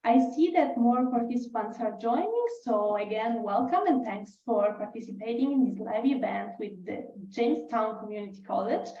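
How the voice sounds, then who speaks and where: A woman's voice: fairly high pitch, normal speed, few pauses, warm tone, a little hiss or hum. A woman hosting a webinar over a video call, giving a scripted welcome. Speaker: webinar host. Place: video call in an echoey room. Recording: muffled, echoey, distant webinar mic.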